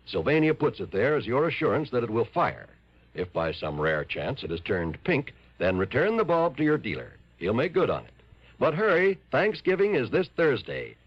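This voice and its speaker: Animated man, vintage radio announcer. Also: mid-range voice, no room sound. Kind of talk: vintage radio commercial read. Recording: dull vintage radio recording.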